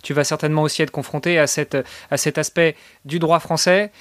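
Fast-talking male podcast host, close dry sound. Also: fairly high pitch, fairly even intonation, fairly bright tone, dry recording. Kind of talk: casual chat, conversational questioning.

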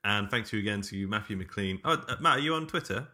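A man's voice: lowish voice, expressive, fairly quick, pushed and bright. A man hosting a podcast interview in casual, chatty style. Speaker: podcast host.

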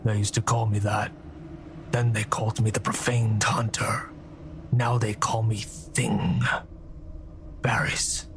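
A low, whispery, vengeful captive hunter with a hand of thorns, as a man reads him in a storybook. he drags every word out slowly and mournfully, and his voice sounds hushed and set back from the mic. the tone stays morose throughout. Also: flat delivery, slight background noise. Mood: eerie whisper. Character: eerie imprisoned male hunter, yellow-eyed, half vine.

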